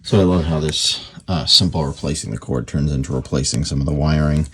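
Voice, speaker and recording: A man's voice: extremely low pitch, fairly quick, breathy edge. A man narrating a hands-on repair in a casual, unscripted way, thinking aloud with some hesitation. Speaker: radio repair hobbyist presenting his work.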